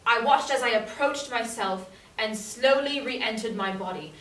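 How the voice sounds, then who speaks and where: Gentle, wondering woman played by an actress, slightly high, in an echoey space. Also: unhurried. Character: gentle, awestruck woman recalling returning to her body. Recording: echoey stage, distant mic, faint noise.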